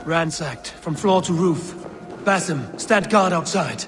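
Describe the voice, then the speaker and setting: An actor playing a blunt, defiant, honor-bound Viking raider with a gruff, husky voice, in a dramatic video game. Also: male voice, on the high side, slow pace, soft background music. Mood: grim. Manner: blunt, forceful. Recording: long hall-like reverb.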